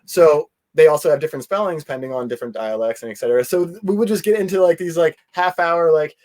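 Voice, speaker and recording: A man talking casually as an interview guest, telling things in a rambling, animated way with quoted asides. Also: fairly high pitch, quick pace.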